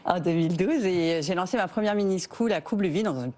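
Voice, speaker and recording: Earnest female founder, hesitant pauses. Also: on the low side, mellow. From France, Rhône-Alpes. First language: English. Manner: earnest, explains at length with many "euh" pauses. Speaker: company founder, interview guest. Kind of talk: TV interview.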